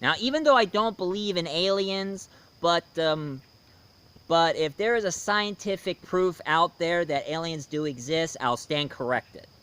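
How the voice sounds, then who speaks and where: A man's voice: fairly high pitch, unhurried, a bit bright, clear, resonant tone. A man giving a casual, rambling film review, speaking emphatically and opinionatedly straight to his viewers. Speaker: reviewer, host.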